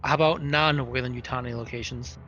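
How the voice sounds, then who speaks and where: A man with a bright, forceful voice and medium pitch talks casually as a tabletop game player. He reasons aloud in a deliberate way, with faint music behind him. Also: steady tone, dull audio.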